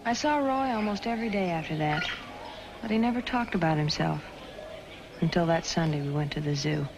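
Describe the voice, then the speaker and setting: A lonely, wistful young woman from a 1950s crime film. Her voice sits on the low side, hushed and breathy, devoted yet guarded. Music plays clearly beneath the old soundtrack. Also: lively intonation, a little fast. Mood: wistful, confiding. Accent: American. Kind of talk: 1950s film noir acting.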